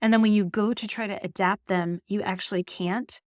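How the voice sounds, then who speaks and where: A middle-aged woman from Canada, a marketing executive telling stories in a podcast interview, animated and laughing often. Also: mid-range voice, a little fast, no echo, studio quality.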